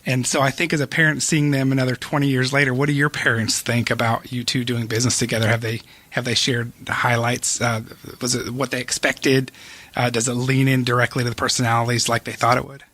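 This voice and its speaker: A man's voice: mid-range voice, little pitch movement, a little fast, a bit bright, dry recording. A middle-aged American man hosting a casual interview, rambling a little with stop-start phrasing. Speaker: podcast host.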